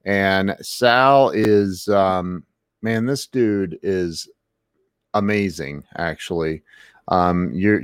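Male interview host, slow and halting, dry sound. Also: on the low side, smooth, dry recording. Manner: halting, many fillers and restarts. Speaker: podcast host. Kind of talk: casual interview.

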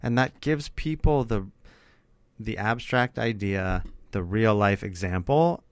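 Man, middle-aged, low voice, earnest, reflective, casual interview talk, close dry sound. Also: animated, takes it slowly, dry recording. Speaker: workshop facilitator and speaker, interviewing.

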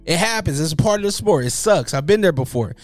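A man's voice: on the high side, quick pace. Brief: man, casual commentary host, slangy and joking, faint music underneath. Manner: animated, joking, slangy, mocking voices in quotes.

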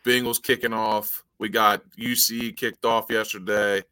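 A man co-hosting a casual sports podcast, laid-back and slangy, quick to agree. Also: on the low side, level tone, sharp tone, clear, resonant tone, no echo. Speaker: podcast co-host.

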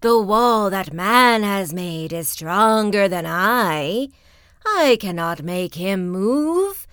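A big, boisterous wind from a storybook, as a woman acts it out, booming each word out slowly and loudly. The pitch swings about with gusty force. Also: animated, smooth, no echo, clean studio sound. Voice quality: loud, slow, booming.

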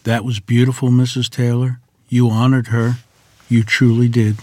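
A man's voice: mid-range voice, flat delivery, moderate pace, no room sound, close to an everyday voice. A man playing a courteous, devout rural piano tuner in a period table read, deferential and folksy. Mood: respectful. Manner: deferential, folksy, gently persuasive.

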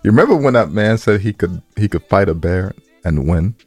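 A man's voice: low-pitched, animated, fairly quick, husky, no echo. A man co-hosting a podcast, telling stories casually in slangy speech and repeating phrases for emphasis. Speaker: podcast co-host. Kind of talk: casual banter and storytelling.

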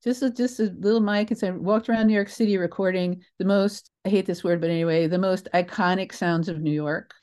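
An older woman telling stories as an interview guest in a casual, animated, emphatic way, often interrupting herself and digressing. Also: medium pitch, a little fast, hardly any pauses. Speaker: interview guest, theatre creator.

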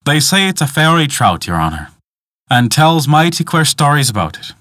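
A man's voice: fairly high pitch, sing-song, normal speed, fairly bright tone, no echo, clean studio sound. A man voicing an old peasant woman, a superstitious, folksy guide telling tales in dialect for a storybook. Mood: folksy, coaxing.

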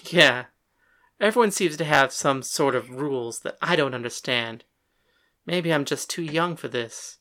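A woman voicing a young woman who is heartsick and pleading, speaking softly and earnestly. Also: deep voice, lively intonation, measured pace, pitched below the performer's own voice. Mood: dejected. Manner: soft, earnest and hurt.

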